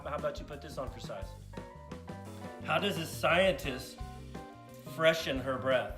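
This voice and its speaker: A man chatting casually as the sidekick on a kids' puppet show, stammering a little in a hesitant, low-key way. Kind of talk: casual unscripted banter. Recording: distant off-camera voice, roomy echo.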